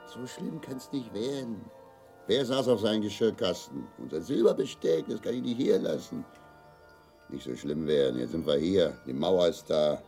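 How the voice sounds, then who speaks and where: An actor playing a grumpy, coarse middle-aged East Berlin husband in a grotesque radio play, gruff and vulgar. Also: male voice, deep voice, animated, normal speed, mellow, faint music behind. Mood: resentful. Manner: gruff, vulgar, muttering then bellowing.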